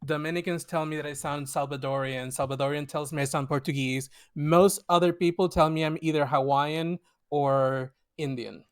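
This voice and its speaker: A man's voice: on the high side, steady tone, smooth. A man from Puerto Rico with a hard-to-place Puerto Rican accent, chatting casually as a game player. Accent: mixed Puerto Rican. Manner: talks fast and self-deprecating about his accent.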